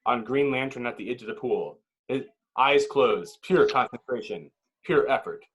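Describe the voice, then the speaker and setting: A man's voice: mid-range voice, lively intonation, normal speed, a bit bright, slightly rough, highs cut off. A man reading stage directions as narrator at a table read, in dramatic, clipped phrases.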